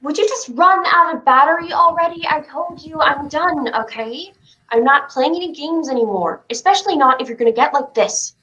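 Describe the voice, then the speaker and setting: A woman acts out a child in a staged play reading, with a high voice. The kid is stubborn and imaginative, a young dreamer who takes make-believe seriously. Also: normal speed, sharp tone, low-quality recording. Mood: fed up, scolding.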